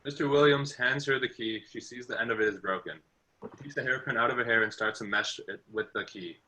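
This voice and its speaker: A man's voice: mid-range voice, fast, pushed and bright, poor audio. A man reading scripted narration as the narrator of an amateur table read.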